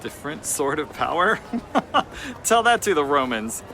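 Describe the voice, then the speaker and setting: A man's voice: a little high, sing-song, unhurried, creaky, pushed and bright, a little hiss or hum, heavily acted. A man playing a cynical, ambitious young runaway slave, brash and dismissive, in a family audio drama. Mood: scheming, determined.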